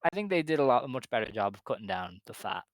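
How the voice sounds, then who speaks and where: A man's voice: on the low side, sing-song, fast, no room sound. A man co-hosting a casual podcast, talking things through with lots of "I think" and "um". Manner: chatty, hedging with "I think" and "um". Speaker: podcast co-host. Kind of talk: casual wrestling podcast chat.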